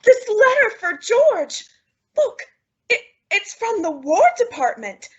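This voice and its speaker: High-pitched, dramatic sitcom housewife. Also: female voice, very animated, a bit bright, exaggerated voice. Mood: nosy, curious. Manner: exaggerated, emphatic, drawn-out comic delivery. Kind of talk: old-time radio sitcom acting. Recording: dull lo-fi old radio recording.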